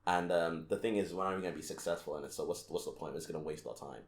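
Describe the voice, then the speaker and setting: A man's voice: low voice, very fast, reverberant. A young man co-hosting a casual podcast, rambling and laughing, with lots of swearing and restarts. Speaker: podcast co-host. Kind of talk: casual chat.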